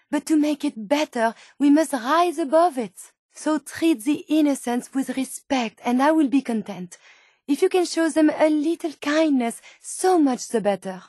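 A woman's voice: high voice, darkish tone, no room sound, clean studio sound. An actress playing an earnest, curious female medical robot turned human in a video game, with a French accent and precise, formal diction. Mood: stern, reproachful. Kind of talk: video game character dialogue.

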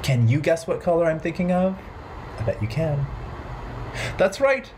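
A man in his thirties hosting a children's storytime, playful and expressive, speaking simply in a rhythmic sing-song way. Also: on the high side, moderate pace, noisy background. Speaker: children's storytime host at a library. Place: bright living room at home.

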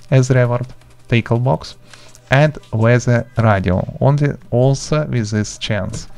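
A man, speaking in halting, simple step-by-step instructions as he hosts an informal video game tutorial. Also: mid-range voice, vocal fry, a little mellow, clear, resonant tone. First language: not English. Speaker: gaming tutorial host. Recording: music behind the voice. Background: background music.

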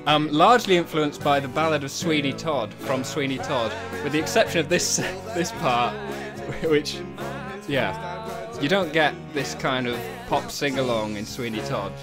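A man's voice: mid-range voice, a little slow, over music. A man giving casual behind-the-scenes commentary as a co-writer, with a dry, tongue-in-cheek sense of humour. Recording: musical soundtrack playing behind the voice.

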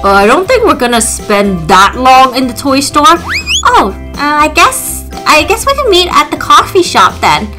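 A woman's voice: high voice, sing-song, moderate pace, over music. A woman voicing a cautious, thrifty father in a children's doll story, fond but firm and a little hesitant. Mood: resigned.

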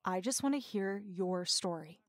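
A woman's voice: medium pitch, lively intonation, unhurried, no echo, clean studio sound. An actress playing a persistent, cagey woman intruder in an audio drama, wry and insistent with a pleading edge. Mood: earnest, pleading. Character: persistent, cagey woman intruder seeking allies, former schoolgirl. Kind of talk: naturalistic audio drama acting.

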